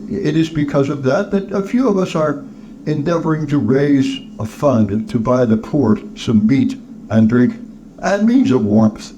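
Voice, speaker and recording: Guy doing proper charity gentleman, higher pitch, super polite, earnest, kinda stammery, storybook, noisy bg. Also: lively intonation, measured pace, lo-fi sound, natural voice. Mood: hesitant, pleading. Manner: formal, deferential, stammering.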